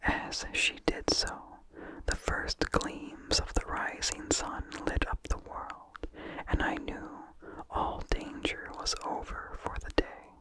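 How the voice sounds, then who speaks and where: A person reading a vintage horror story as ASMR in a soft, hushed, deliberate whisper with no voice behind it. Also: rough recording. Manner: slow, hushed, deliberate delivery. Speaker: narrator.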